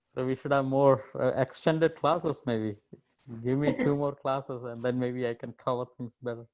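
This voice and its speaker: A man's voice: medium pitch, fairly even intonation, normal speed, darkish tone. A male university lecturer chatting casually with his students on a video call, easygoing, chuckling and repeating "yeah". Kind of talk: casual chat with students before an online lecture. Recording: muffled video call audio.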